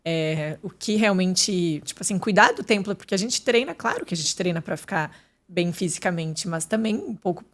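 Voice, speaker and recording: A woman's voice: lowish voice, lively intonation, normal speed. A woman, a podcast guest talking candidly and casually, with many fillers and restarts. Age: 30s. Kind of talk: casual interview chat.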